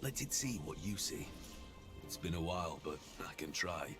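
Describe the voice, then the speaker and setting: An actor playing a gruff, weary, loyal dwarf warrior in a video game, with terse delivery. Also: male voice, sits low, big pitch swings, normal speed, over music.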